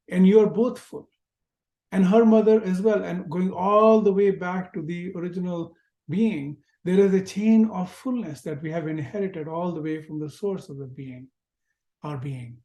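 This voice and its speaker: Indian-accented male teacher, mellow and a touch high, lecturing gently in a roomy echo on a video call. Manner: reflective, gentle, with rhetorical questions. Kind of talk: spiritual lecture to an online class.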